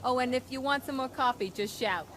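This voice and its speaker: A woman's voice: high-pitched, fairly quick, fairly bright tone, slight background noise. A woman playing a friendly, chatty diner waitress in a video game, familiar and obliging with customers. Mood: friendly, casual. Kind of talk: naturalistic game acting.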